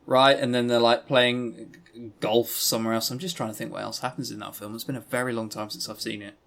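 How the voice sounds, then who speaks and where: A man's voice: medium pitch, flat delivery, brisk, creaky, a bit bright. A man co-hosting a podcast, giving a casual review in a rambling, informal and blunt way. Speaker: podcast co-host.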